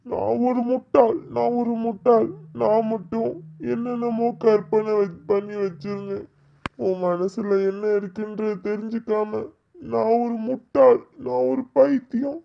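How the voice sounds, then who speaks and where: Man acting as a heartbroken young lover, gravelly, slow, anguished pleading, dull lo-fi sound. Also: high voice, little pitch movement. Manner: pleading, anguished, repeats lines. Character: heartbroken young man lamenting lost love. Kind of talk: dramatic monologue.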